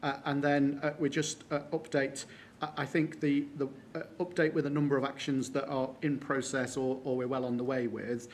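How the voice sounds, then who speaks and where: A man presenting a report at a formal board meeting, halting and full of filler sounds. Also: medium pitch, little pitch movement, a little hiss or hum. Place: meeting room.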